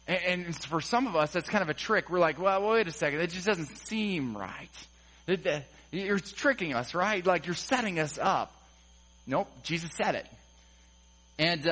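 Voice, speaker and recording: Male pastor, high tenor, casual and humorous pulpit delivery. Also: rough recording. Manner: conversational, acts out quotes with humor, rising excitement. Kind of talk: sermon.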